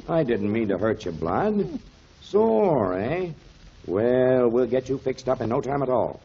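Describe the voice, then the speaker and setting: A man's voice: medium pitch, dark tone, breathy edge, full character voice. An actor playing a concerned, hearty man in a 1930s radio serial, speaking in an emphatic, dramatic way. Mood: soothing, concerned. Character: concerned, hearty man, protective dog owner. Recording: dull, muffled 1930s radio recording.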